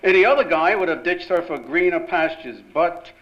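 A man acts out a brisk, jovial American newspaper chief from an old-time radio play. His voice is rather high for a man and swings about a great deal, bossy but fatherly. The recording is muffled. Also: lively intonation, natural voice. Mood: jovial, teasing. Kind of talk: 1940s-style radio drama acting. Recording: dull lo-fi sound, faint noise behind.

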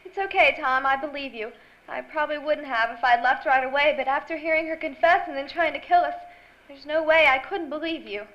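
Very high-pitched girlish sweetheart, acted by a woman. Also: brisk, lightly acted. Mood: tender, earnest. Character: sweet, anxious young sweetheart of the hero. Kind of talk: old-time radio drama acting. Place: stage before a live audience. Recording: dull live stage recording, clear noise.

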